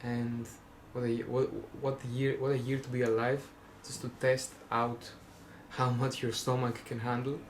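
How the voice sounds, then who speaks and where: A man's voice: medium pitch, level tone, measured pace, few pauses, a little hiss or hum, poor audio. A young man from Greece with a Greek accent, rambling casually in a vlog, full of fillers and self-restarts with wry jokes. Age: young adult. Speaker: vlogger.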